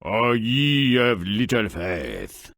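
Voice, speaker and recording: Lively pitch, dry sound, vain ogre, grandiose boasting, fairy-tale game. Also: male voice, very slow, no room sound, full character voice. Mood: boastful.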